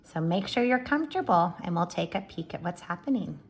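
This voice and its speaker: A woman reading a storybook aloud to young children like a teacher, expressive, with friendly asides to her listeners. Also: fairly low pitch, brisk.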